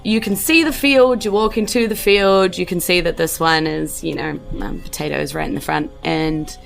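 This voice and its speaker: A woman's voice: on the low side, moderate pace, creaky, faint music behind. A woman with a New Zealand accent improvising tabletop game narration as game master, informal and descriptive with hesitant phrasing.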